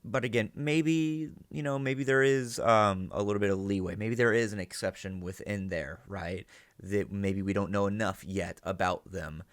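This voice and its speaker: Man, low register, casual commentary host who reasons out loud, very dry recording. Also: moderate pace, dry recording. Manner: animated, thinking aloud with rhetorical check-ins. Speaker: reaction and analysis host.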